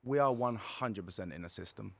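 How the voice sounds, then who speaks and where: A man's voice: lowish voice, fairly quick, creaky, a bit bright. A man with a South London accent, a fighter talking loosely as a podcast guest, full of fillers and "bro". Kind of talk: casual conversational interview.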